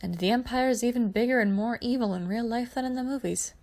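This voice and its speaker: A calm, earnest space pilot in a storybook reading, voiced by a woman. He speaks in a quiet, measured way with plenty of creak. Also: fairly high pitch, pitched above the performer's own voice, natural voice. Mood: calm, friendly.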